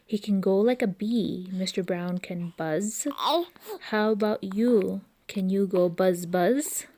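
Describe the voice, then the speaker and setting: A woman with a soft-edged, mellow tone reads a children's book aloud at a slow, measured pace. Her pitch sits in an average range for a woman, and she delivers the words expressively, playing with sound effects as a storyteller for little ones. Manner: expressive, with playful imitations of noises.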